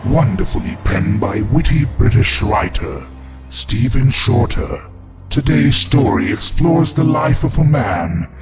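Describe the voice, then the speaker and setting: A man playing a menacing evil overlord who hosts a horror podcast, speaking in a pompous, theatrical way over music. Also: fairly low pitch. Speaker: podcast host. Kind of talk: scripted horror podcast introduction. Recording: loud music under the voice.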